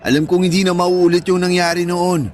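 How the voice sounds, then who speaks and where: A man's voice: sits high, flat delivery, fairly quick, close to an everyday voice. A man playing an earnest, lovestruck young man in a Tagalog radio drama, speaking conversationally. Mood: confident. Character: earnest lovestruck young man waiting for his online girlfriend.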